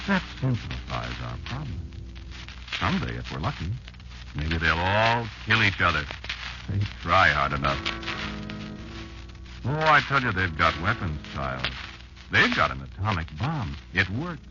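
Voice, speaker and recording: Very deep-voiced, wary old creature. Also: male voice, sing-song. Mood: grim, scornful. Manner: confiding, folksy, deliberate dramatic pauses. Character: wary old insect elder telling a child grim survival tales. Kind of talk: old-time radio drama monologue. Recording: dull lo-fi old radio recording. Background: prominent music.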